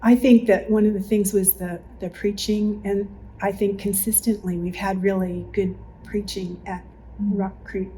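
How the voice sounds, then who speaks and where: Woman reminiscing in an even, mellow voice. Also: mid-range voice, unhurried, rough recording. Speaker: longtime church member being interviewed. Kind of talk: reflective spoken recollection in a group interview. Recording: faint background noise.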